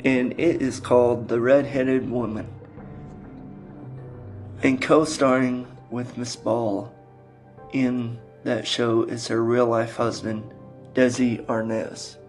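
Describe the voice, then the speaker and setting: Slow, even-toned male podcast host. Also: medium pitch, faint music behind. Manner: halting delivery in short broken phrases. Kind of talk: podcast introduction with episode summaries.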